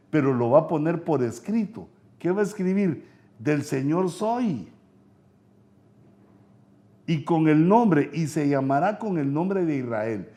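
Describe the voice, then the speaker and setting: A man preaching a sermon, going up in pitch when he quotes scripture, with frequent "eh" fillers. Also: warm tone. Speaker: pastor. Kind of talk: sermon Bible teaching.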